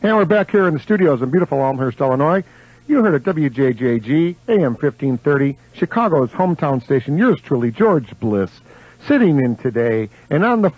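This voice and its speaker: A man's voice: mid-range voice, dry recording. A man hosting a live radio interview in a chatty, effusive and enthusiastic manner. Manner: effusive, full of enthusiastic compliments. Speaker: radio host. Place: radio studio. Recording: dull AM radio broadcast.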